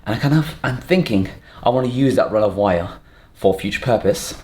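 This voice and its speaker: A man's voice: lowish voice, lively intonation, a little fast, mellow. A man casually narrating hands-on home renovation, thinking aloud as he works. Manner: unscripted, thinking aloud while working. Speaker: host of a home renovation vlog. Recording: noisy home recording with clicks.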